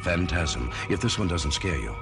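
Male trailer announcer, rock-bottom gravelly voice, macabre showmanship, loud music behind an old film promo. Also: expressive, normal speed. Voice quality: very deep, gravelly. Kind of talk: theatrical vintage horror film trailer narration.

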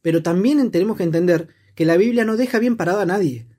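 A man's voice: sits high, quick pace, warm tone, breathy edge. A man with an Argentine accent explaining his views in a casual discussion, reflective and asking rhetorical questions. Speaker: discussion participant.

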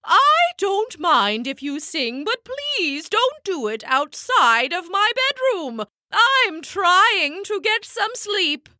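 A woman's voice: very high voice, sing-song, takes it slowly, few pauses, sharp tone, no echo, clean studio sound, voice pushed up, full character voice. A woman voicing a tired, grumpy female owl who is woken up and begs to be left alone. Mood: exasperated. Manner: exasperated, pleading. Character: sleepy grumpy female owl, easily annoyed.

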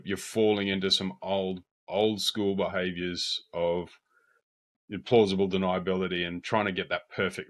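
An Australian man with a fairly low voice, speaking directly and confidently on a podcast. He breaks into quick bursts when making a point and keeps a relaxed, conversational tone. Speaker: podcast co-host. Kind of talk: casual podcast discussion.

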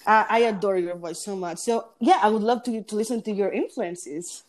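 A woman with a deep, gritty voice, a singing competition judge talking in a casual interview and pausing often on fillers. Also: a little hiss or hum. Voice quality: deep voice with grit. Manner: hesitant, pauses with fillers.

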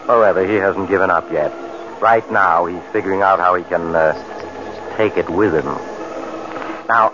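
An actor playing a macabre, mysterious storyteller who hosts a 1940s radio mystery, wry and confiding. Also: male voice, low voice, natural voice. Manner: wry, insinuating, unhurried confiding tone. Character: sly, macabre mysterious male traveler, storytelling host. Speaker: host narrator. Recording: noisy, dull old radio recording.

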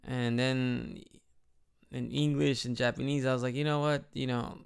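Chatty Chicago man with gravelly voice. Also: mid-range voice, little pitch movement, normal speed. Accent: Midwest American with a slight Mexican tinge. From Chicago, United States. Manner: rambling, chatty, frequent restarts. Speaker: vlogger living in Japan. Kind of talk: casual personal vlog.

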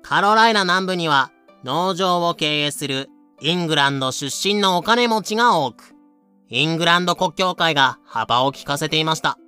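A man's voice: a little high, normal speed, bright, forceful tone, smooth, soft background music. A man narrating a scripted audio drama in a clipped, announcing manner. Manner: clipped, announcing dates and titles.

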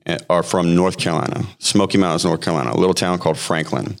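Deep-voiced man in his thirties, podcast guest sharing his testimony; loose and halting, lots of fillers. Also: steady tone, vocal fry. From Hawaii. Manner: halting, frequent fillers and restarts. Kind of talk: personal testimony, conversational storytelling.

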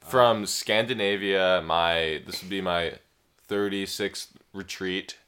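A man co-hosting a comedic health podcast, stuffy from a cold, making enthusiastic deadpan claims. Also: low voice, slow pace, clean tone. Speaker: podcast co-host.

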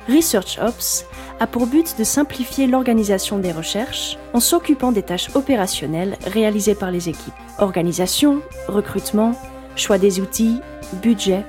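A woman host reading a scripted podcast introduction in a measured, even way. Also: fairly high pitch. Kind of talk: scripted narration.

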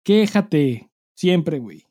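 A man's voice: a little high, very animated, extremely slow, mellow, dry recording, studio quality. A Mexican man co-hosting a podcast in casual chat, swearing freely and speaking with emphasis in heavy slang. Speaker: podcast co-host.

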